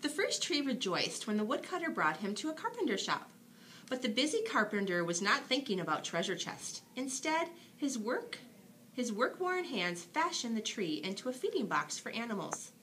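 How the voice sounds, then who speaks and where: A woman with a bright, clear-cut delivery reads a bedtime storybook. Her pitch swings in a lively, expressive way for young listeners. A faint noise sits behind the voice. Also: moderate pace. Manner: expressive, dramatic storytelling for children. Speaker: storybook reader. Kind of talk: bedtime story read aloud.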